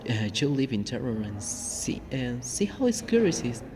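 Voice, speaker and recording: Man, Mexican Spanish accent, young adult, halting with fillers, noisy recording. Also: medium pitch, very animated. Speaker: podcast co-host. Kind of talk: casual storytelling chat.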